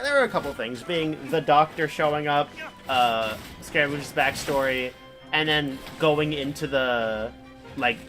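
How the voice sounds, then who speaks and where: A man's voice: fairly high pitch, pushed and bright. A young man streaming a video game, rambling casually with lots of fillers and "like". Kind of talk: casual live game commentary. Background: game music.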